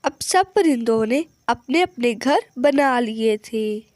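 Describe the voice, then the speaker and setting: A woman reads a children's story in a high voice. She takes it slowly and the sound is dry and close, in the manner of a scripted animal tale. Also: animated, a little mellow, no echo. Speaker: narrator.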